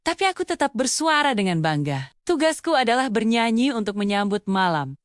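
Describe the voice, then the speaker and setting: A woman's voice: fairly high pitch, big pitch swings, fairly quick, no echo, studio quality. Woman voicing a tiny, spirited, confident cricket. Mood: proud, encouraging. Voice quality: loud, spirited. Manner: friendly, proud, encouraging. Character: tiny confident cheerful male cricket, friendly encourager.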